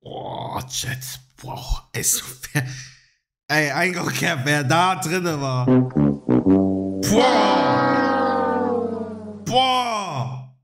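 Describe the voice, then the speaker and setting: A man's voice: sits high, lively intonation, very slow, pauses often, over loud music. A man streaming live, reacting to a video and making slangy, mocking asides to his chat.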